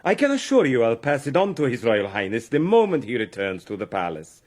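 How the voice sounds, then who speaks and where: An actor playing a stern, suspicious baron in a radio drama, speaking in a formal, condescending way. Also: male voice, medium pitch, huge pitch swings, a little fast, darkish tone. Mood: smooth, obstructive.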